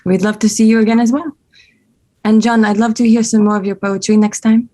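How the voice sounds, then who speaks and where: A woman's voice: on the high side, steady tone, fairly quick, warm tone, natural voice. An actress playing a friendly, curious woman with a French accent in a screenplay table read.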